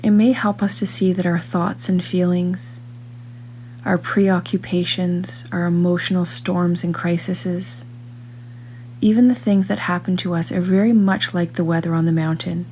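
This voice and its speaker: A woman reading a scripted guided meditation in a calm, measured manner, leaving long pauses between phrases. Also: fairly low pitch, slight background noise.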